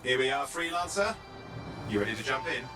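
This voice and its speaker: A man's voice: medium pitch, lively intonation, fairly quick, music in the background, close to an everyday voice. A man voicing an upbeat, confident mission partner in a video game. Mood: confident briefing.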